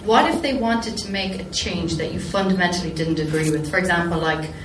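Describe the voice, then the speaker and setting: A woman's voice: on the low side, brisk. A woman asking a thoughtful question from the audience, hesitant at first. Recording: echoey hall, distant mic, loud background noise.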